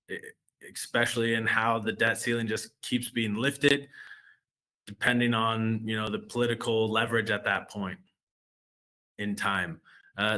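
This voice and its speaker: Young american guy talking through a finance webinar, kinda low but bright sounding, stumbles and restarts a lot. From United States. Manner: loose, hesitant delivery with many fillers and restarts. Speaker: relationship manager presenting a webinar. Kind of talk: webinar presentation.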